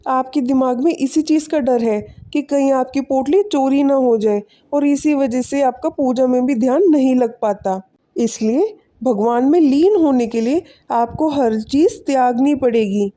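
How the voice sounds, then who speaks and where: Woman playing a humble, high-voiced village man. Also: measured pace, mellow. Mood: earnest, explaining. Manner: polite, earnest. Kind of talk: animated moral storybook acting.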